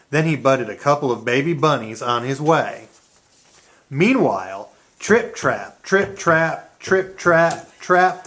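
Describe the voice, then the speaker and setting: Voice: mid-range voice, fairly bright tone. Storyteller narrating a children's puppet tale.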